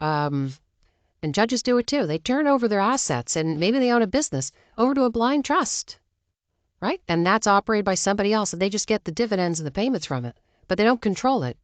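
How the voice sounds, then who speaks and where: A woman's voice: fairly low pitch, dry recording. An American woman giving opinionated news commentary in an emphatic, argumentative manner, often posing rhetorical questions. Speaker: political commentator.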